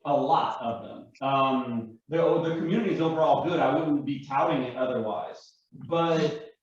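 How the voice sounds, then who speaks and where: A man in an unscripted panel discussion, explaining his view in a halting, reflective way. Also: medium pitch. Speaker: panel guest and larper. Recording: echoey, distant, dull microphone.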